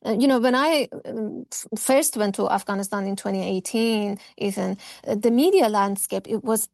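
A woman's voice: medium pitch, moderate pace, vocal fry, darkish tone, dry recording, clean studio sound. A woman from Iran, a reporter answering radio interview questions earnestly, with many fillers and restarts. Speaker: foreign reporter, guest.

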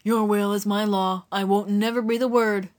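A woman voicing an easygoing working-class man, a travelling photographer, speaking low and quietly. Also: flat delivery, moderate pace, lightly acted. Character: adult male stranger, a plain-spoken travelling photographer.

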